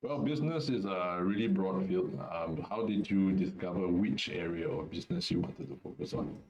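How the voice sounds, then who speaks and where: A low-pitched young man from Singapore talks over a video call. His delivery is relaxed and hesitant, with fillers and restarts. The sound is echoey and muffled. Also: normal speed. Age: young adult. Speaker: student webinar host. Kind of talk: casual webinar discussion.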